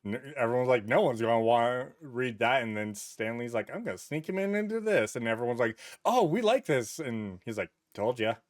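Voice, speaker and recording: Animated man podcaster, dry close sound. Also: mid-range voice, a little fast, hardly any pauses, no room sound. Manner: animated, acts out imagined quotes. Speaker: podcast host. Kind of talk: casual podcast discussion.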